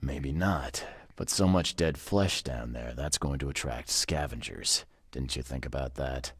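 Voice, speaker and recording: An actor playing a gruff, wry, world-weary private investigator in a video game, speaking laconically in a husky voice. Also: male voice, unhurried, dry recording, clean studio sound, heavily acted. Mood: wry, suspicious. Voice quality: husky, gravelly low voice. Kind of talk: video game dialogue acting.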